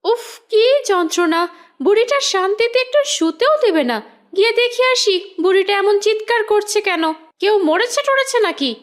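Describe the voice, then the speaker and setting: A woman's voice: extremely high pitch, animated, moderate pace. A woman voicing a lazy, grumbling young daughter-in-law in a family cartoon, whining with irritation. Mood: annoyed. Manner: whiny, irritated complaining. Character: lazy, grumbling young middle daughter-in-law who hates being disturbed. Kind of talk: Bengali family cartoon voice acting.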